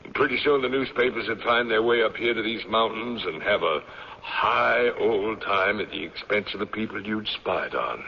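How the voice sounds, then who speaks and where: A suspicious old patriarch of the mountains, questions with stern, deliberate command. His voice is deep and creaky, heard on a muffled old radio drama recording with clear hiss and noise. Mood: stern, interrogating.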